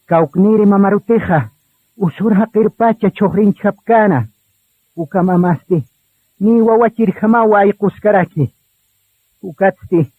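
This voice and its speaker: A man's voice: high-pitched, husky, narrow, tinny audio. A man reading scripted dramatic narration for a radio drama. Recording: echoey, band-limited radio recording.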